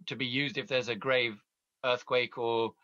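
A middle-aged man moderates an online forum interview over a video call, speaking politely and hesitantly with plenty of fillers. His voice is bright and forceful. The sound is dry and a little dull. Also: medium pitch, level tone, normal speed, dry recording. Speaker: editor and interviewer, host.

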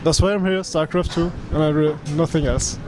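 A man's voice: fairly high pitch, fairly even intonation, noisy background. Hesitant young man. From France. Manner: hesitant, halting. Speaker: pro gamer, guest. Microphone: handheld mic.

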